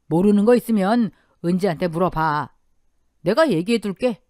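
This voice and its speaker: A woman's voice: medium pitch, moderate pace, a little mellow, no room sound, studio quality, close to an everyday voice. A woman voicing a blunt but caring older father-in-law in a radio story drama. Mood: firm, caring. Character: gruff but warm-hearted older father-in-law, a restaurant owner.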